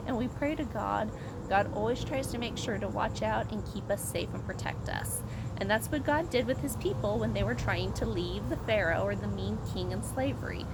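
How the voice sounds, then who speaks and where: An American woman leading a children's Bible story time, speaking to young listeners in an animated way with simple explanations and questions. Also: medium pitch, heavy background noise.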